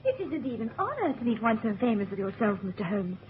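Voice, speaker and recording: An actress playing a charming but volatile society niece in a radio drama, with mannered, emphatic, polished delivery. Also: female voice, on the high side, big pitch swings, quick pace, phone-quality sound. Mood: gushing, sociable. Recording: thin, noisy old radio recording.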